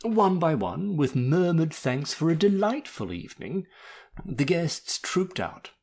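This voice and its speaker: Male audiobook narrator, swooping intonation, slightly rough husky tone, theatrical storytelling with melodramatic flourish. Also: fairly high pitch, sing-song, measured pace, darkish tone. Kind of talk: expressive scripted story narration.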